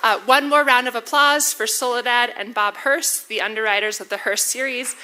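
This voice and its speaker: A woman giving brisk introductory remarks to an audience, a little out of breath. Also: fairly high pitch, fairly even intonation, over a noisy crowd, heavy background noise. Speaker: event presenter. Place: auditorium before a live audience.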